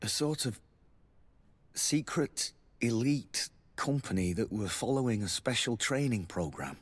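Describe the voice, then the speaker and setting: An actor playing a wary, grave military lieutenant with a husky voice in a video game, speaking in a secretive, measured way. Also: male voice, mid-range voice, lively intonation. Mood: grave, confiding. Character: guarded, wary male military lieutenant instructor. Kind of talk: video game character acting.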